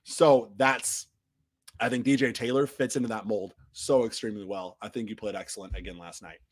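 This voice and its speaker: A man's voice: lowish voice, steady tone, brisk. Man with a voice still mending. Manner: rambling, frequent restarts and fillers, trails off quietly at phrase ends. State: recovering from losing his voice. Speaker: soccer podcast host. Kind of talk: casual match recap on a live stream.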